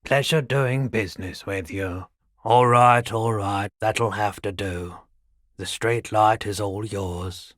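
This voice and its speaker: An oddly philosophical male pawnshop keeper for a video game. His voice sits on the low side and he takes his time, stretching phrases out in a deadpan, laid-back way. The sound is dry, with no room around it. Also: no room sound. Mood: businesslike, easygoing. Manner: deadpan, unhurried, drawn-out phrasing. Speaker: shopkeeper character. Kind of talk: video game character dialogue.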